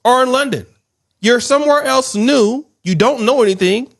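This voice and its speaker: A man's voice: extremely high pitch, normal speed, vocal fry, a bit bright, no room sound, studio quality. A man hosting a video channel, speaking directly to viewers in a blunt, emphatic commentary. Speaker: YouTube channel host.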